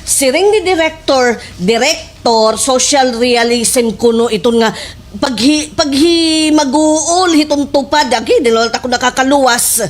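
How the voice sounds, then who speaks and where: A woman with a Waray Filipino accent acting an excitable, gullible village sidekick in an exaggerated slapstick radio comedy style. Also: high voice, unhurried. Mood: explaining, fretful. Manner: exclamatory, exaggerated.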